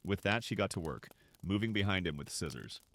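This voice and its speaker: A man narrating a scripted story for an audio drama in an expressive, dramatic way. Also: sits low, fairly quick. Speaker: narrator and voice actor.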